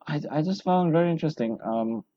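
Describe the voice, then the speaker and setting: A man hosting a solo podcast, rambling and self-deprecating, his voice hoarse from talking a long time. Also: on the high side, fairly even intonation, a little fast, muffled recording. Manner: rambling, self-deprecating, full of fillers and restarts. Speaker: podcast host.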